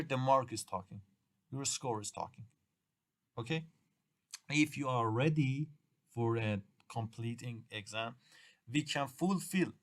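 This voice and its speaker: A middle-aged man from Iran with a Persian accent, a native Persian speaker, lecturing students at an English exam workshop. He talks haltingly, restarting and repeating phrases, with uneven grammar. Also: medium pitch.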